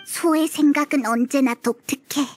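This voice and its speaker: A woman voicing a tiny, cheeky childlike fairy companion in a video game, squeaky, perky and blunt. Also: steady tone, moderate pace, a little mellow, close to an everyday voice. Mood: curious, surprised. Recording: music behind the voice.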